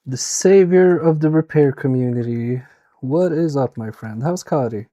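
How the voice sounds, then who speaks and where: Slow-talking Swedish-accented man. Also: medium pitch, dark tone. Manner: thinks aloud, mutters to himself while working. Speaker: repair live streamer. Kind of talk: casual live-stream commentary while repairing.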